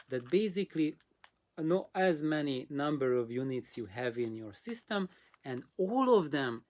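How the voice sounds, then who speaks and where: A host explains a technical matter in a casual way at a medium pitch. The delivery is halting, with frequent pauses, and leans on simple, practical comparisons. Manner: halting, pausing, explains with simple hands-on analogies. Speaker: host answering audio questions.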